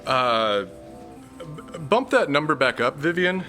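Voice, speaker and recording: A man's voice: a little high, expressive, slow, pushed and bright, soft background music, exaggerated voice. A man playing a stammering, nervous young man. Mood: sheepish. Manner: hesitant, stammering. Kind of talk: comedic audio drama acting.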